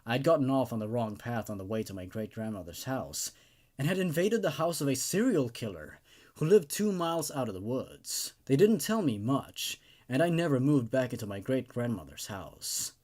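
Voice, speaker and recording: A man's voice: mid-range voice, big pitch swings, normal speed, darkish tone, voice pushed down. A man voicing a shaken first-person narrator in a dramatic creepypasta reading, grave and subdued. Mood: somber, subdued.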